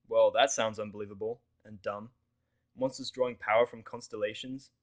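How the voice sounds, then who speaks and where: A man's voice: on the low side, fairly even intonation, moderate pace, close to an everyday voice. A man voicing a moody, stubborn, sarcastic human man in a fan fiction audio story, with curt delivery. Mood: sarcastic, incredulous. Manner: curt, sardonic, swearing.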